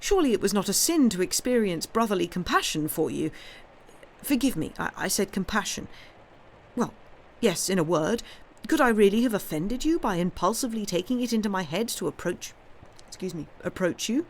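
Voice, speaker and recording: A reader voicing a lonely, timid young man in an audiobook, impulsive and effusive, with a halting, pleading delivery. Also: sits high, lively intonation. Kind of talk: dramatised audiobook reading.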